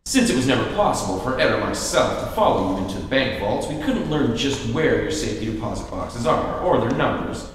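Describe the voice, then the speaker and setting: A man's voice: medium pitch, big pitch swings, a little fast, natural voice. A man playing a mock-polite, menacing villain mastermind in an old-time radio play on stage. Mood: businesslike. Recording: very echoey hall, some noise.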